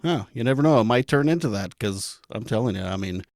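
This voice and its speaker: A man's voice: medium pitch, animated, fairly quick, a little mellow, dry recording, clean studio sound. A man from Canada, a podcast guest, talking in a rambling, self-deprecating way with frequent restarts. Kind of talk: casual interview chat.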